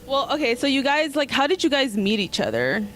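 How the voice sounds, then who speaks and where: A woman's voice: high-pitched, big pitch swings, brisk, fairly bright tone, slight background noise. A woman in her twenties hosting a casual music interview, chatty and upbeat with lots of filler words. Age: 20s.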